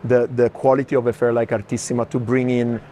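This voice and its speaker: A man's voice: medium pitch, flat delivery, quick pace, dark tone. A man moderating an unscripted walking conversation about art. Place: walking through an art fair. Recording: clear background noise of busy fair.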